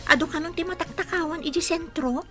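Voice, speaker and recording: A woman's voice: very high-pitched, fairly quick, a bit bright, faint music behind. A woman playing a concerned, chatty young friend in a radio drama, with an Ilocano accent.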